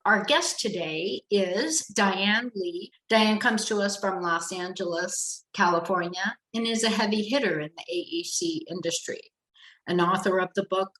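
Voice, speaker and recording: Host, woman, measured and friendly, roomy echo around the voice. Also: mid-range voice, a bit bright. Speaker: podcast host. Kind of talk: podcast interview.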